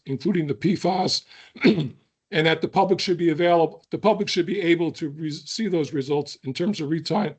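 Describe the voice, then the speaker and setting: A hoarse man who is losing his voice, giving an earnest public comment at a hearing with deliberate pauses and throat clearing. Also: fairly high pitch, darkish tone.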